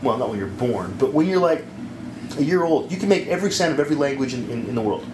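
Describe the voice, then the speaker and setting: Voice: mid-range voice, lively intonation, quick pace. A speaker in casual conversation, explaining things confidently and swearing offhandedly. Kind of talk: casual chat. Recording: echoey room with background noise.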